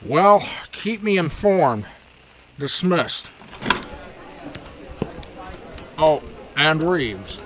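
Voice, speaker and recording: An actor playing a stern, demanding police commissioner in an old time radio drama. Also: male voice, fairly high pitch, big pitch swings, drawn-out words, slight background noise, exaggerated voice, low-quality recording.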